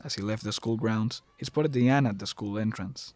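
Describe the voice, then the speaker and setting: A man's voice: on the low side, normal speed, darkish tone, dry recording. A man reading scripted third-person narration for a dramatic audio story.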